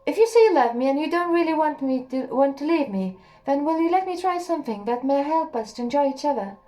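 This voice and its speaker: A woman reading the lines of a calm, matter-of-fact husband in his thirties, speaking quickly and evenly in an audiobook. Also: high voice.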